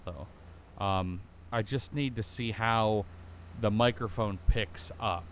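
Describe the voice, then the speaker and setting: A young American man with a bassy voice, rambling through casual commentary while playing a driving game, full of ums and likes. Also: fairly bright tone, slight background noise. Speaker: gaming YouTuber.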